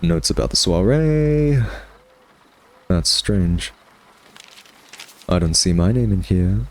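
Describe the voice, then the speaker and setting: A man's voice: low-pitched, expressive, slow pace, darkish tone. A man playing a smug, hungry vampire who teases and taunts sardonically in an intimate ASMR roleplay monologue. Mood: mock offended. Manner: sardonic, taunting, drawling, theatrical. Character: smug, teasing, hungry male vampire nemesis.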